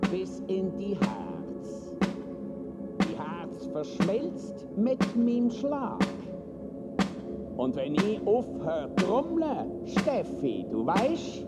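Slow, sinister carnival Death figure. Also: male voice, high voice, very animated, over loud music, exaggerated voice. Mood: slow menace. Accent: Swiss German. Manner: slow, menacing, taunting chant. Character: sinister masked carnival drummer, Death in an uncle's guise. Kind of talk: audio drama acting.

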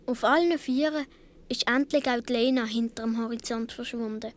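Woman doing a little swiss boy, high voice, earnest kid storytelling for a radio drama, swiss german accent. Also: normal speed, close to an everyday voice. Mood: earnest, tense. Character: seven or eight year old Swiss boy recalling his adventures. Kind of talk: radio play narration.

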